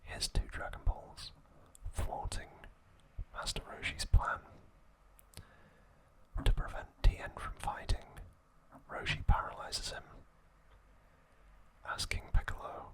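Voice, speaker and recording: A man narrating trivia for ASMR in a soft, breathy whisper, reading deliberately in short broken phrases. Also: poor audio.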